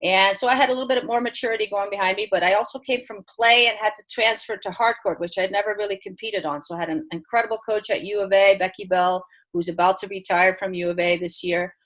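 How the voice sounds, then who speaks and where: A woman's voice: medium pitch, steady tone, quick pace, few pauses, fairly bright tone, rough recording. A middle-aged woman from Belgium who grew up speaking Dutch and French, a head tennis coach talking earnestly in an interview with frequent restarts. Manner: earnest, with many restarts and fillers. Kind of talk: remote interview.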